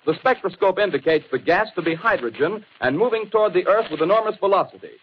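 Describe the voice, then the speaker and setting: A man's voice: high-pitched, lively intonation, fast. An American man playing a formal radio news announcer in 1930s style, with clipped, declamatory delivery. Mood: formal, earnest. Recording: dull, hissy 1930s radio recording.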